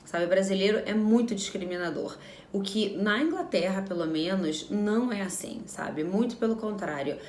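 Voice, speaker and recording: A woman's voice: medium pitch, normal speed, a little mellow, reverberant, slight background noise. A Brazilian woman in her thirties talking frankly to camera in a casual vlog, repeating phrases for emphasis. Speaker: vlogger.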